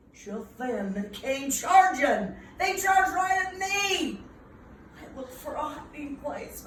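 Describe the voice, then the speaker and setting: A woman reading a picture book to young children in a theatrical, emphatic way, pausing to engage them with asides. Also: medium pitch, a bit bright. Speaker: preschool owner reading at a children's storytime. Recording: roomy, distant mic, faint noise.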